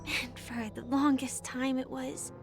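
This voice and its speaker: Soft high girly game character, gentle and sincere, talks slow, breathy, music under it. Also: little pitch movement. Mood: wistful, confiding. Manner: soft, breathy, measured, earnest delivery. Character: gentle young woman with a secret, quietly sincere. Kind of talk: video game voice acting.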